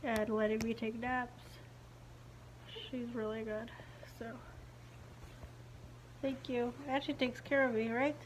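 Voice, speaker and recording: A young woman with a slightly high voice chats into her phone at home, sounding blocked-up and a little muffled, as if she cannot move her lips freely. She rambles on in an easy video-diary way, full of "um" and "like". A faint hiss sits under the voice. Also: flat delivery, slow pace, long pauses. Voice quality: stiff-lipped, slightly muffled speech. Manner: chatty, rambling, many fillers. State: congested, stuffy-nosed after nose surgery. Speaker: beauty vlogger. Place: living room at home. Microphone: phone camera at arm's length.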